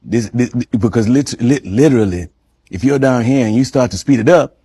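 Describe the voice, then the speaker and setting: A man speaking in a played clip of casual conversation, halting with frequent restarts. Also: mid-range voice, warm tone, husky, dry recording.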